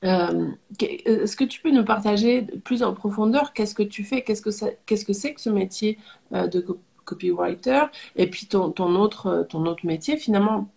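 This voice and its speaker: A woman hosting a live interview, nasal and hoarse from a cold, welcoming her guest with many fillers. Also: medium pitch, normal speed, dark tone. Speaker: host, life coach.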